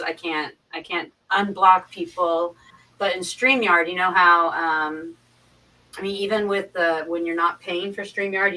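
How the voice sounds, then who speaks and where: A woman chatting casually as a live-stream guest, explaining things in a halting way with repeated and restarted phrases. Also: fairly low pitch, pushed and bright, distant mic, low-quality recording. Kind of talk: casual chat, explaining and recounting.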